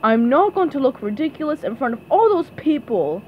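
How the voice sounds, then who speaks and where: A woman's voice: sits high, very animated, a little fast, highs cut off, a little hiss or hum. A woman voicing a stubborn, indignant male cartoon starfish from a storybook, speaking bluntly.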